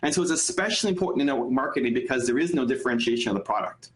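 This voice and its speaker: A man's voice: medium pitch, expressive, racing. A man giving a motivational business coaching talk, speaking emphatically and repeating phrases for effect. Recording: echoey room sound.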